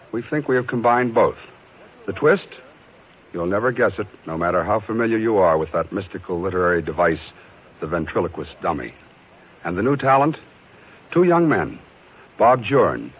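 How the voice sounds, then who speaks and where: A muffled old radio recording of an American man hosting a thriller series. His low, rather husky voice delivers a scripted introduction with literate showmanship. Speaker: radio series producer and host.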